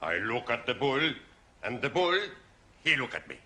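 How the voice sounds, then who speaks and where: An actor playing a cheerful Spanish student telling jokes in broken, Spanish-accented English in a sitcom. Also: male voice, mid-range voice, normal speed. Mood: cheerful, showy. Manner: broken English, excitable showmanship, laughs at own jokes. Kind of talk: sitcom stand-up routine.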